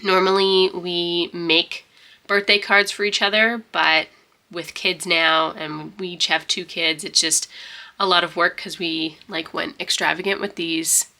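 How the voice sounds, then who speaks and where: A woman's voice: lowish voice, steady tone, a little slow, pushed and bright. A young woman with a stuffy, congested voice, chatting casually and rambling through a journal flip-through. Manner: rambling, chatty, many fillers and restarts. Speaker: journaling vlogger.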